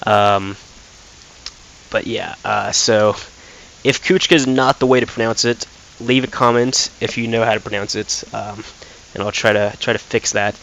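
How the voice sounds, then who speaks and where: A man's voice: lowish voice, gravelly, fairly bright tone, low-quality recording. A young man casually commenting while playing a football game, thinking aloud with lots of fillers and restarts. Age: young adult. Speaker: gaming commentator.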